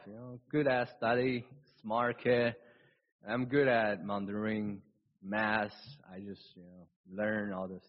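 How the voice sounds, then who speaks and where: A man with a Chinese accent, whose first language is Chinese, reading scripture and preaching a sermon in a halting way, with restarts and slips. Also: fairly low pitch. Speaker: pastor. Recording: dull lo-fi recording.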